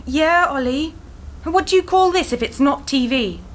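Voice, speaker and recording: A woman's voice: high-pitched, expressive, normal speed. Woman acting a defiant, haughty young woman. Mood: pedantic, needling. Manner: snippy, pointed. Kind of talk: radio play acting.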